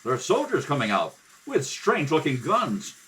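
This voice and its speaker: Brave young space adventurer acted by a man, animated and earnest, radio serial heroics. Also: fairly high pitch, moderate pace, full character voice. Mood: steady. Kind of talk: old radio serial acting.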